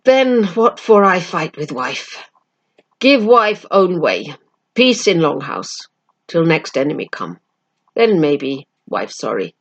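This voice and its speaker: A woman reader acts out a stern, proud warrior chief from a storybook. The speech is slow and terse, in clipped broken English, with a bitter edge. The recording is slightly dull. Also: low-pitched, sing-song, exaggerated voice. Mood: grave, terse.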